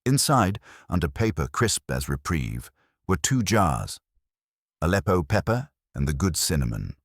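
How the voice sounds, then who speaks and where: A man's voice: low voice, sing-song, unhurried, no echo, studio quality. A man narrating a scripted first-person detective story as an audiobook.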